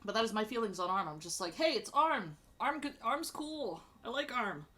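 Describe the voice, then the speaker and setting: A woman chatting casually as she ranks characters, rambling with lots of fillers and restarts and sudden bursts of excitement. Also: on the high side, moderate pace, faint music behind. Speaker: streamer ranking show characters.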